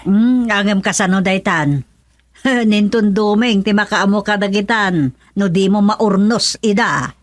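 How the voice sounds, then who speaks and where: Female actor as practical mother of a grown daughter; expressive, admonishing, mid-pitched, dry studio-style radio drama. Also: a little slow, no echo, lightly acted. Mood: questioning. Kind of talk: Ilocano radio comedy drama acting.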